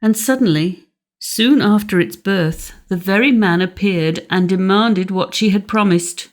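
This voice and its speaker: A woman's voice: mid-range voice, measured pace. A woman reading scripted narration as an audiobook narrator.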